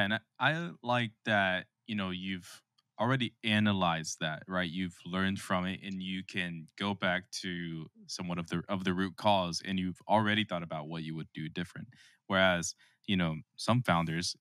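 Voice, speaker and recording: A man's voice: deep voice, steady tone, moderate pace, sharp tone, clear, resonant tone, dry recording, clean studio sound. A man hosting a podcast, responding and asking questions in a conversational interview style.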